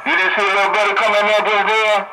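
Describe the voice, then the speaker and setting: High-pitched man on noisy CB radio. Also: level tone, fast, bright, forceful tone. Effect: CB radio transmission. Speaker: CB radio operator. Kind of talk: casual radio chat. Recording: noisy CB radio through a speaker.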